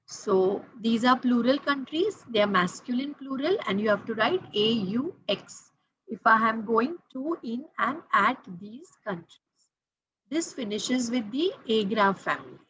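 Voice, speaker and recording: A woman's voice: a little high, lively intonation, a little slow, a bit bright, highs cut off, slight background noise. A woman, teaching French grammar patiently, repeating key points and spelling out letters. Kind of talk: explaining grammar to students.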